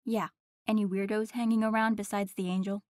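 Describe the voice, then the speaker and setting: Woman doing a cocky demon girl, mid-pitched voice, teasing n nonchalant, audio roleplay. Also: little pitch movement, brisk, a little mellow, no room sound, clean studio sound, natural voice. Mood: teasing, dry.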